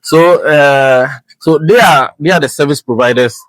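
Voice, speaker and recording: A man from Ghana with a Ghanaian English accent, a guest describing his work as an air traffic controller in a relaxed, rambling way, full of fillers and restarts. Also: on the high side, measured pace, dry recording. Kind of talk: casual podcast conversation.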